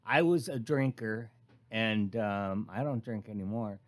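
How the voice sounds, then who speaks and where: A man's voice: on the low side, a little slow. A man who co-hosts radio, telling a personal story in a candid, casual, conversational way. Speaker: radio co-host.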